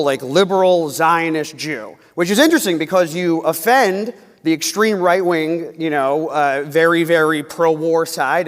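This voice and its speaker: A higher-voiced American man hosts a live stage interview as a comedian and journalist. His delivery is informal and teasing, and his voice rings with the long reverb of a hall. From New York, US. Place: indoor event hall in front of an audience.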